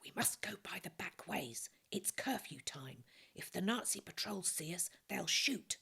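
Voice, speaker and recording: A small, secretive war-orphan boy from a storybook, played by a woman reading aloud. He talks in short, confident, matter-of-fact bursts with a husky, slightly gravelly tone. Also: fairly low pitch, animated, a little slow, bright, forceful tone, exaggerated voice. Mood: urgent, hushed.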